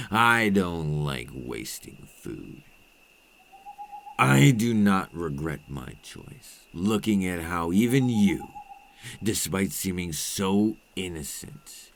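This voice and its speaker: A man playing a menacing, centuries-old male vampire in an ASMR roleplay drama, speaking in a theatrical, condescending, deliberate way with old-fashioned phrasing. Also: low voice, heavily acted.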